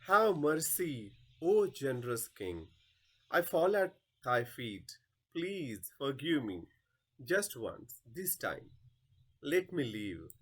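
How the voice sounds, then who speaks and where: A man's voice: mid-range voice, very animated, slow, a bit bright, slightly rough, exaggerated voice. A man playing a cranky, servile goldsmith in a play reading, pleading desperately. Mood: desperate begging.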